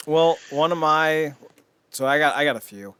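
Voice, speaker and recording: A man's voice: a little high, normal speed, fairly bright tone, clear, resonant tone. A man co-hosting a podcast in casual chat, informal and slangy, with short, simple opinions. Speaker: podcast co-host.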